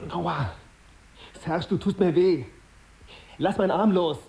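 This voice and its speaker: Brooding young journalist, dark soft-toned. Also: male voice, high-pitched, slow, vocal fry, husky, exaggerated voice. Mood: upset, protesting. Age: young adult. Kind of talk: radio drama acting with first-person narration.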